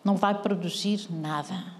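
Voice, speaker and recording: A woman's voice: mid-range voice, steady tone, unhurried. Portuguese lady pastor preaching, earnest, lots of questions, big echoey church hall. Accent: European Portuguese. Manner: questioning the congregation, earnest, rising to urgency. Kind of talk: sermon.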